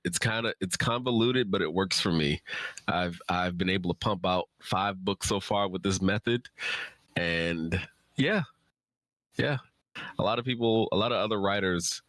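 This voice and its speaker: A man's voice: low voice, a bit bright, no room sound. A man who is an author, chatting informally and answering questions in a loose, rambling way. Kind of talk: casual chat, answering audience questions.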